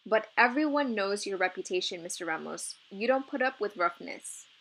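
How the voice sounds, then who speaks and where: A woman's voice: mid-range voice, expressive, moderate pace, a bit bright, rough recording. A woman voicing a polite, persuasive seventh-grade girl in a children's storybook. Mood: earnest, persuasive. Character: Maritza, earnest, diplomatic seventh-grade soccer girl.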